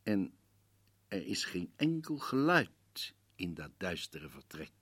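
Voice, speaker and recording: An actor playing a portly, combative older professor-detective who gravely recounts a case in a radio play. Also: male voice, deep voice, big pitch swings, fairly bright tone, dry recording, heavily acted. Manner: grave, slow, telling a story to the listener. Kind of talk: 1950s radio drama narration.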